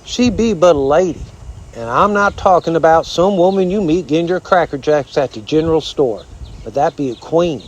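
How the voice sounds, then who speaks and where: A man's voice: a little high, moderate pace, highs cut off, voice pushed up, lightly acted. A man voicing a folksy, pompous old armadillo uncle with a Southern drawl, blustering and repeating himself, in a storybook reading. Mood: urgent, solemn.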